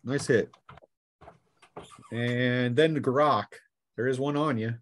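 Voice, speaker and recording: A man's voice: medium pitch, slow pace, fairly bright tone. A man acting as game master, narrating a tabletop role-playing game in a casual, teasing way.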